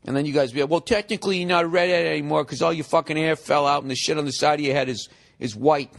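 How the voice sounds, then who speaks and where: A man's voice: fairly high pitch, fairly even intonation, fast talker, gravelly. A man in his forties, a podcast host reading a listener email and ranting sarcastically and profanely about it. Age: 40s. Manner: mocking, exasperated. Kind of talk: comedic podcast rant.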